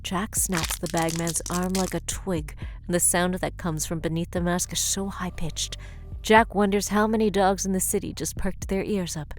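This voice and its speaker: A woman's voice: lowish voice, fairly even intonation, normal speed, soft background music. A woman narrator delivering dramatic scripted narration for an audio story.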